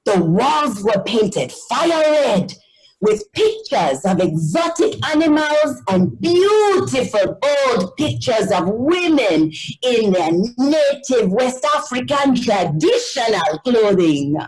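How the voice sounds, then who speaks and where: A woman reading a children's story aloud as an expressive scripted narration. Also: on the high side, slow pace, poor audio.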